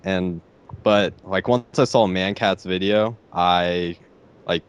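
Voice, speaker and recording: A teenage boy with a deep voice, answering interview questions hesitantly and filling pauses with "like" and "I don't know.". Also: fairly even intonation, clear, resonant tone, lo-fi sound. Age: teens. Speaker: interviewee, gamer.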